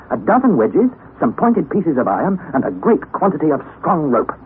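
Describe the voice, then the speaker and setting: Young man, first-person narration, lively intonation, tinny hissy old radio sound. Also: fairly high pitch. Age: young adult. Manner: measured, listing carefully.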